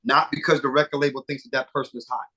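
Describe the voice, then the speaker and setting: A middle-aged man, a singer and producer, chatting casually as an interview guest, animated and swearing freely with many fillers and restarts. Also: medium pitch, fast talker, breathy edge, low-quality recording.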